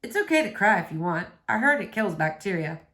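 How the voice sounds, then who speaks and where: A woman's voice: medium pitch, very animated, a little fast, a bit bright. A woman reading the part of a brash teenage boy, a blunt and slangy best friend. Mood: blunt, curious.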